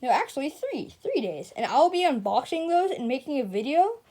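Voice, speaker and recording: A woman's voice: sits high, sing-song, fairly quick, dark tone. A woman presenting her puppet videos, speaking to viewers in a casual, unscripted, pleading way.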